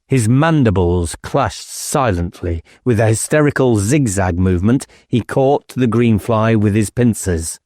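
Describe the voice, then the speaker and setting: A male audiobook narrator with a fairly low voice, reading literary fiction at a very unhurried pace. His storytelling is measured and dramatic, captured close and dry. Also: expressive, darkish tone, no room sound.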